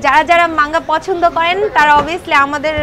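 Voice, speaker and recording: A woman's voice: sits high, steady tone, fairly quick. A woman working as a stall seller, presenting products in an informal interview and mixing English words into her speech. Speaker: bookstall seller. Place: busy book fair stall. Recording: busy crowd noise behind.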